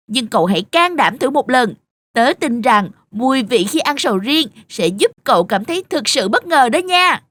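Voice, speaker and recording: High, lilting talking durian fruit. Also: female voice, fairly bright tone, no room sound. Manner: explanatory, sing-song, friendly. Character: friendly, proud talking durian fruit, eager young teacher. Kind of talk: children's cartoon dubbing, explaining nutrition.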